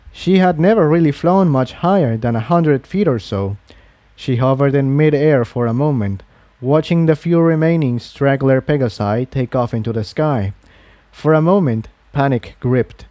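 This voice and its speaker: A man's voice: medium pitch, dark tone, no echo. A man reading a fantasy adventure story aloud as its narrator. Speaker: fan fiction narrator.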